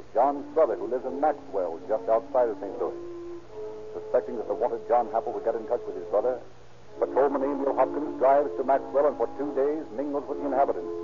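A man narrating a 1930s radio crime drama in a formal, declamatory manner with deliberate phrasing. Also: mid-range voice, natural voice. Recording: narrow old radio recording, loud music behind.

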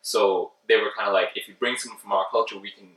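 A man's voice: fairly low pitch, fairly even intonation, fast, fairly bright tone. A young man chatting casually and hesitantly, full of "like" and "um". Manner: hesitant, many "like" and "um", restarts. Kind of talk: casual chat. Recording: roomy, mic at a distance.

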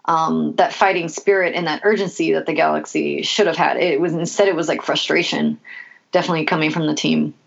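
Co-host woman with a Spanish accent, lowish voice, dull lo-fi recording, chatty. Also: fairly even intonation, fairly quick, vocal fry. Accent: Spanish-influenced. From Los Angeles. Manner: conversational, hesitant fillers. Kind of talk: casual soccer chat. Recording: dull lo-fi audio.

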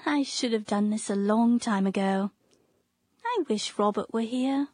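A woman's voice: a little high, unhurried, a little mellow, slightly rough, no room sound. An actress playing a playful, flirtatious Victorian widow in her thirties in a radio drama, teasing and genteel. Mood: wistful.

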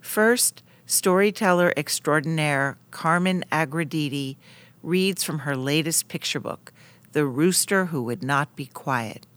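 A woman's voice: sits low, unhurried, a bit bright, dry recording. Woman hosting with scripted podcast narration. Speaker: podcast host.

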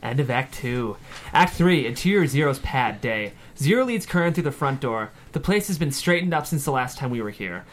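A man's voice: mid-range voice, expressive, moderate pace. A man reads scene headings and action lines for a screenplay table read. His voice is mid-range, delivering scripted narration. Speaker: stage directions narrator.

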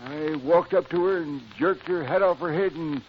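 An actor playing a folksy older henpecked neighbor with a rural American accent in a radio comedy. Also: male voice, on the high side, moderate pace, close to an everyday voice. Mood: indignant reminiscing. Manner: folksy, rambling, yep-repeating. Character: folksy, henpecked old country neighbor man. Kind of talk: old-time radio sitcom acting. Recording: muffled, hissy old radio recording.

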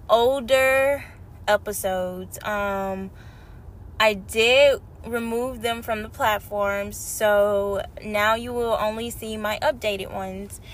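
A woman hosting her podcast in casual, slangy chat, a little hoarse from a cold. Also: mid-range voice, a little hiss or hum. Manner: animated, slangy and chatty. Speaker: podcast host. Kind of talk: casual spiritual podcast talk.

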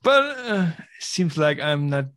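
A man speaking English as a second language as a podcast guest, halting and stuttering, with restarts. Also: on the high side, sing-song, fairly bright tone. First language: not English. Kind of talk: casual gaming chat.

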